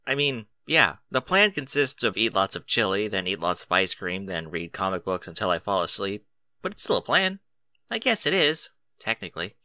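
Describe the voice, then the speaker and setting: Storybook dragon kid done by a man, sarcastic, laid-back, animated pitch, sharp bright tone. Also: normal speed, no room sound, studio quality, heavily acted. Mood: breezy, teasing.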